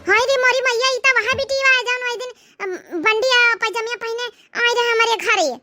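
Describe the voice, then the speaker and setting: Woman acting as cartoon village housewife, very high pitch, piercing tone, Awadhi accent, expressive, aggrieved. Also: fairly quick, faint music behind. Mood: distraught. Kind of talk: cartoon comedy dialogue.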